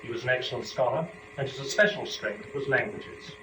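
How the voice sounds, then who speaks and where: A man speaking in a formal, deliberate, articulate way, as a performer presenting poetry to listeners. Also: medium pitch. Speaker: performer and lecturer. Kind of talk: dramatic poetry reading and introductory lecture. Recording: echoey room, distant mic, dull, noisy.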